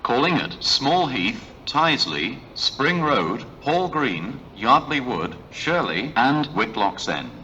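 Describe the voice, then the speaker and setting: A man's recorded voice making automated train announcements on a station platform, in a measured, clearly separated delivery. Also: medium pitch, big pitch swings, pushed and bright, lo-fi sound. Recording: station ambience, faint train noise.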